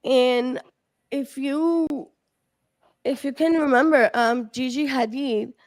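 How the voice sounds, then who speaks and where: A woman from the Dominican Republic on a streamed panel, talking casually and haltingly with many fillers and restarts. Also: high voice, fairly even intonation, no echo. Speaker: research team member.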